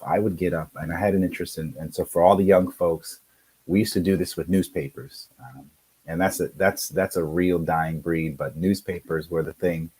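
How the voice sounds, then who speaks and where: A man's voice: low voice, darkish tone, breathy edge, low-quality recording. A man in his forties, a native Spanish speaker, telling his story as a guest on a video call, earnest and full of fillers. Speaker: guest, career center director. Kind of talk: casual conversation.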